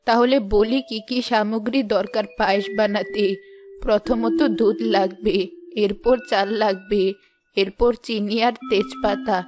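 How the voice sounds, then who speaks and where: A woman voicing a kindly, careworn village grandmother in an animated moral story, affectionate and gently scolding. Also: mid-range voice, natural voice. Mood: relenting. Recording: music behind the voice.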